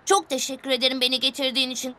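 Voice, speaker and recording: A woman's voice: high-pitched, level tone, very fast, pushed and bright, a little hiss or hum. A woman voicing a sweet, concerned young boy in a children's cartoon, earnest and childlike. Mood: caring. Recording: music behind the voice.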